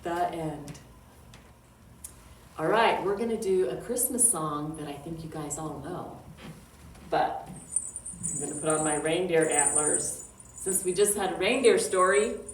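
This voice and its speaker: A woman reading a picture book aloud to young children as a storytime librarian, with drawn-out words and dramatic emphasis. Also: sits low. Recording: roomy echo, distant mic, faint noise.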